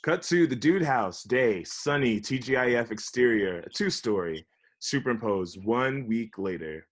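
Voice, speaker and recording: A man's voice: medium pitch, animated, unhurried. A man with a mid-range voice reads scripted stage directions. He serves as narrator of a table read. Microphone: video call.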